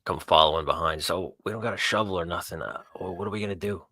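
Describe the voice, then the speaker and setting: Male, extremely low voice with a forceful edge, casual slangy tabletop roleplay. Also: fast, no echo, clean studio sound. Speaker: player in a tabletop roleplaying game. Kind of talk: improvised in-character roleplay, casual dialogue.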